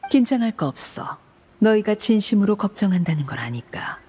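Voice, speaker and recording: A woman playing a commanding, coolly composed orphanage head in a video game, speaking formally and with measure in a breathy voice. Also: medium pitch, big pitch swings, brisk, darkish tone. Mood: calm, authoritative. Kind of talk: Korean video game voice acting.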